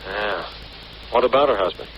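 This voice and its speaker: A man's voice: on the low side, animated, moderate pace, lightly acted. An actor playing the earnest male companion of a lady detective in an old-time radio drama. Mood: reproachful, serious. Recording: dull, noisy vintage radio recording.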